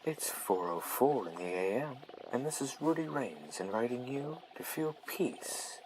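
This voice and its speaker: A man playing a dreamy late-night radio host, speaking in a hushed, breathy, soothing style over a running stream. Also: mid-range voice, sing-song, unhurried, slight background noise, rough recording. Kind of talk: soft relaxation radio narration. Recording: nature ambience behind the voice.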